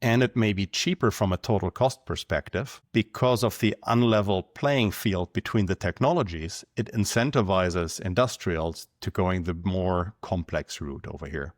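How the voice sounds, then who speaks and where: Man, German accent, low-pitched, measured explanations, dry sound. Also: no room sound. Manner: measured, explanatory, numbers-driven. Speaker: guest, company founder. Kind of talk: podcast interview.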